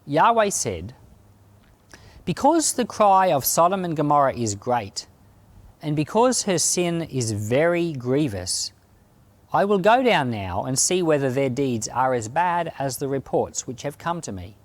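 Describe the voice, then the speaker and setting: Expressive man reading scripture aloud. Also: on the high side, no echo. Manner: expressive, deliberate phrasing. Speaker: Bible podcast reader and pastor. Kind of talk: scripture reading, dramatized narration.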